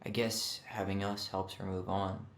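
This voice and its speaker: A man's voice: deep voice, level tone, moderate pace, echoey room, slight background noise. An actor playing a pensive, guarded young man in a video game, speaking in a subdued, hesitant, reflective way. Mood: reflective, sad. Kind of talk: naturalistic game cutscene dialogue.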